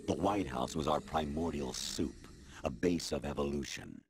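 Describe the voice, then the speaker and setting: Very deep, cold machine intelligence. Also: male voice, slightly rough, dry recording, exaggerated voice. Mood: cold, lecturing. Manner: measured, authoritative, matter-of-fact lecturing. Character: formless male artificial intelligence posing as colonel, coldly lecturing. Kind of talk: video game cutscene acting. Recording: loud music behind game dialogue. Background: loud music.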